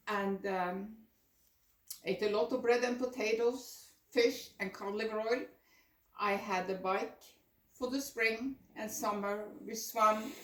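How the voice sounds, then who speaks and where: Older woman presenting in echoey room. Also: medium pitch. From Norway. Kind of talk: prepared personal presentation. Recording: echoey room, distant microphone.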